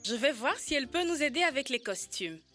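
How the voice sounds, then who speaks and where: A woman voicing an excitable, helpful young schoolchild in an educational cartoon. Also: high voice, fairly quick, soft background music, exaggerated voice. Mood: excited. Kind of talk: dubbed educational cartoon acting.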